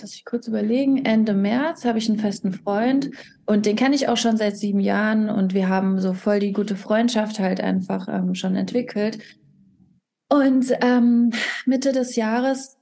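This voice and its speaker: A woman's voice: mid-range voice, dark tone. A young woman talking candidly as a podcast guest, rambling and dropping English phrases into her speech. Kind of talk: casual podcast conversation.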